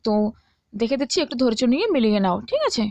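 A woman's voice: on the high side, big pitch swings, very fast, a little mellow, dry recording. A woman teaching as a tutor, reading out exam questions and answers and often checking that listeners follow.

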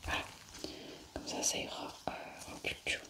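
A young woman whispers breathily and haltingly in an ASMR roleplay, murmuring step-by-step commentary as a scientist. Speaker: ASMR roleplayer playing a scientist examining samples.

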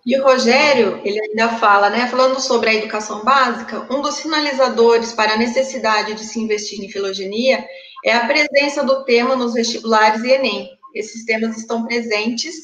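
A woman's voice: fairly high pitch, fairly quick, a bit bright, roomy sound, dull audio. A woman moderating a panel over a video call, reading out audience questions with hesitant filler sounds. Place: home room, indoors.

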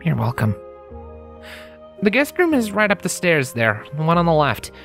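A woman voicing a friendly, excitable young male pony tinkerer in a storybook reading, with casual, earnest speech. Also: low-pitched, normal speed, vocal fry, faint music behind, voice pushed up, exaggerated voice. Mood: friendly, upbeat. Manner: casual, earnest, hesitant with filler words.

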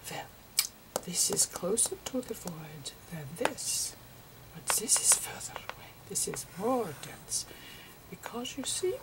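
A woman voicing Einstein as a gentle, eccentric old physicist in a whispery, halting ASMR lecture with repetitive professorial asides. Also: medium pitch, big pitch swings, rough recording. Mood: hushed, explanatory.

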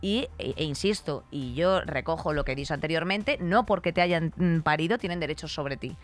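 A woman in her thirties with a fairly low voice and a Spanish accent from Spain. She chats candidly as a podcast host, blunt and emphatic, swearing freely. She sits close to a studio microphone. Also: a little fast, faint music behind. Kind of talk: candid, opinionated podcast chat. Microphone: close studio microphone on arm.

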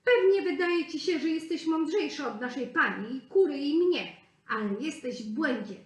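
Storybook cat, haughty and lecturing, pitched up and loud , as a woman reads it, roomy echo. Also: animated, moderate pace. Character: smug lecturing house cat.

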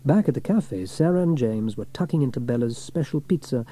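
A man reading a scripted children's story in an expressive, performed way. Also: mid-range voice, a little fast. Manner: expressive, shifts into character voices. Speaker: storybook reader.

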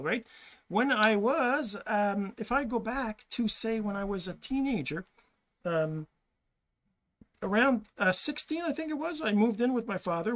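A man's voice: sits high, fairly bright tone. Man, middle-aged, casual host monologue, rambling, self-correcting, desk webcam, small home office. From Quebec, Canada. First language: French. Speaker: podcast host. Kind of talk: casual monologue with screen share.

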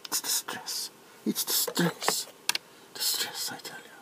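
A soft-spoken man playing a nervous, eccentric doctor in a comedic ASMR roleplay, hesitant and repetitive, often dropping into whispers. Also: slow, close to an everyday voice, rough recording. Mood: stressed, flustered. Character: nervous, flustered, eccentric male doctor examining a monster. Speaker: ASMR performer. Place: small cluttered room at home. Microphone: close to the camera.

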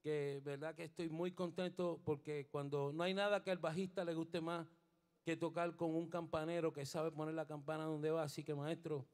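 A middle-aged man from Rico, leading a band on a studio stage. He introduces the players into a stand microphone while playing bass. He mixes Spanish and English, pauses with fillers, and later turns fervent and preacher-like. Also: little pitch movement, a little mellow. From Puerto Rico. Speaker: bandleader and bassist. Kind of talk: onstage band introductions and spiritual encouragement.

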